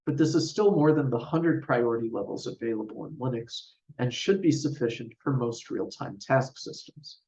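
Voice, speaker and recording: Guy reading out a technical conference talk, measured and formal, mid pitch, sounds away from the mic. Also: darkish tone. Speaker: researcher presenting a paper. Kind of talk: scripted technical conference presentation. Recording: roomy, distant mic, dull sound.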